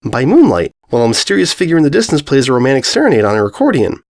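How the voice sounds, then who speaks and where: A man's voice: mid-range voice, wildly swooping pitch, very fast, dry recording, clean studio sound. A man voicing a bubbly, meddling female pony with a chatty, playful manner in a story reading. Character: bubbly, meddling, excitable party pony mare.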